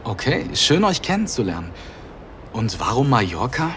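A man's voice: medium pitch, sing-song, moderate pace, bright, forceful tone. Brief: a reserved businessman, hesitant and a bit awkward, ordinary mid voice, faint noise under the audio drama. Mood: polite, shy.

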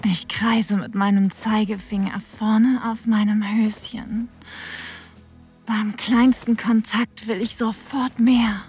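Aroused woman, erotic audio drama narration, slow pace, breathy and half-whispered, middle pitch, music behind. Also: fairly even intonation, a little mellow. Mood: sensual, aroused. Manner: breathy, sensual, partly whispered delivery. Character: aroused woman narrating her own intimate encounter.

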